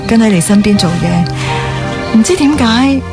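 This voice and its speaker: A woman's voice: on the high side, expressive, normal speed. A caring young woman assistant, music behind. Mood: wistful, heartfelt. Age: 20s. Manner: soothing, sincere. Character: caring young female assistant, thoughtful, devoted, earnest. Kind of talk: radio drama acting. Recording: background music under the voice.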